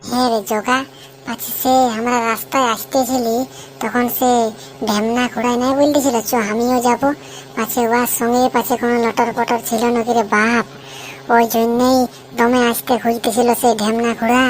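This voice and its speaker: A woman's voice: fairly high pitch, flat delivery, moderate pace, slight background noise, close to an everyday voice, rough recording. A woman voicing a nosy, teasing groom's sidekick in a comedy cartoon, chatty and questioning. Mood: nosy, baffled.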